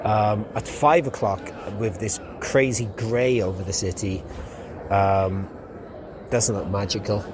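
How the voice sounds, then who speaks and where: A man's voice: lowish voice, fairly even intonation, takes it slowly. A young man, a podcast host, chatting casually in a rambling way with lots of ums while walking outdoors through a city. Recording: outdoor street noise. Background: street and traffic noise.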